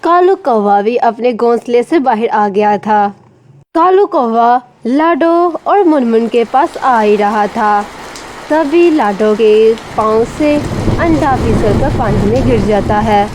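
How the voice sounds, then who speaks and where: A woman's voice: on the high side, animated, takes it slowly, a little mellow, poor audio. A woman narrating a scripted children's story. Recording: faint rain and background noise.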